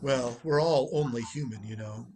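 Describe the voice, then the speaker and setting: A man playing a wry, unflappable gentleman in a radio play, dry and teasing. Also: mid-range voice, normal speed, a little hiss or hum, lightly acted. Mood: dry, calm.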